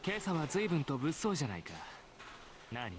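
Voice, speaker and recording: Smug teen schoolboy, visual novel, sneering, very animated pitch, fast, theatrical. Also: male voice, noisy background. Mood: smug mockery. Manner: mocking, flippant, theatrical. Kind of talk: Japanese visual novel voice acting.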